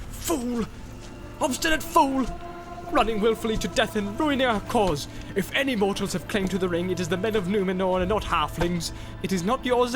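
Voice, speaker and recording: Guy doing a proud warrior man, loud and grabby, pitched way up, big declaiming voice. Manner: booming declamatory delivery, rising to shouts. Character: proud tall warrior man, grasping, turning to rage.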